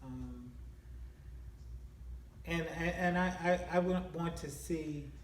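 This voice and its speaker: A man giving casual interview answers in a halting, measured way as a member of a theatre production. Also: fairly high pitch. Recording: echoey, distant mic, faint room noise.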